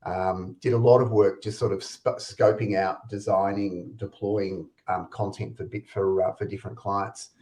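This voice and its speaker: A man with an Australian accent who heads a content team, giving a relaxed, informal webinar introduction over a video call. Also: fairly low pitch, moderate pace, few pauses. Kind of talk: webinar presentation.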